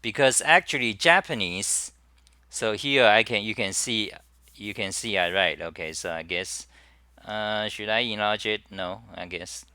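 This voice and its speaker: A middle-aged man chats casually on a live stream, stopping and restarting his sentences often. His voice is bright and forward, and the sound is dry and close, with almost no room around it. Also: lowish voice, measured pace, no echo. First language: Chinese. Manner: rambling with many restarts and fillers. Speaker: livestream host.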